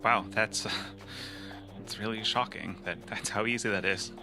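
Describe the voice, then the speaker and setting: A man playing a tabletop roleplaying game, improvising casually in halting, backtracking phrases. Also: on the low side, steady tone, music in the background.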